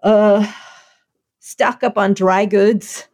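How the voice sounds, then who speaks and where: A woman co-hosting a podcast in casual chat, playful and quick to laugh. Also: mid-range voice, fairly even intonation, darkish tone, no room sound, clean studio sound. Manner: playful, laughs often, jokey asides. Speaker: podcast co-host.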